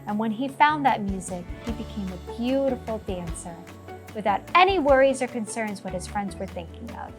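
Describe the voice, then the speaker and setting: A woman narrates a children's picture book in a high voice with lots of rise and fall. She takes her time over the phrases, and music plays behind her. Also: lively intonation, moderate pace. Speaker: storytime teacher reading aloud. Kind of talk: expressive children's storybook narration.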